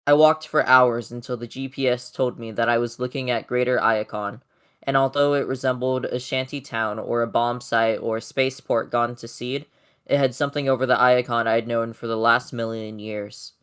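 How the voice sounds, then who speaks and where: A performer voicing a weary, dignified robot leader in a fan comic dub, speaking in a solemn, measured and formal way. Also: mid-range voice, fairly bright tone, lightly acted. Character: weary, dignified heroic robot leader narrating his return. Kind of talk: comic dub, first-person dramatic narration.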